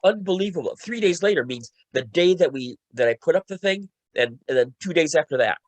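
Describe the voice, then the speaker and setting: A man's voice: fairly high pitch, lively intonation, a little fast, no room sound. A man talking casually on a panel as a co-writer, often repeating himself and restarting phrases as he recounts a creative process. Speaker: radio play co-writer and panelist.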